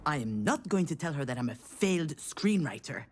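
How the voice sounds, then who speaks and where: A wry, self-deprecating woman in a narrative video game. Her delivery swings widely in pitch as she moves between hesitant excuses and sardonic inner commentary. She sounds flustered and quick to mock herself. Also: sing-song. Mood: dry, bitter. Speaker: voice actor playing the lead character. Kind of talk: acted game dialogue with inner-monologue narration.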